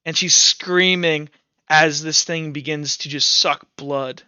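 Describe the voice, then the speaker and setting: A man's voice: on the high side, steady tone, slow pace, sharp tone, clear, resonant tone, no room sound, dull audio. A man acting as game master, mixing casual rules talk with informal, vivid narration of combat in a tabletop roleplaying game.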